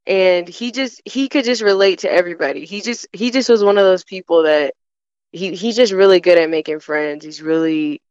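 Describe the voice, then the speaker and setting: A woman's voice: fairly low pitch, normal speed, darkish tone, smooth, dry recording, highs cut off. A woman calling in to a podcast, chatting in an easygoing, friendly way. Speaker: phone-in guest. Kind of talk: casual phone chat.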